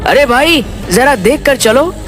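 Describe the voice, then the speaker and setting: An earnest village father and sweet-seller acted by a man, proud and caring, with slow, emphatic delivery that swings widely in pitch. Music runs behind the storybook dialogue. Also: lively intonation, heavily acted. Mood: friendly.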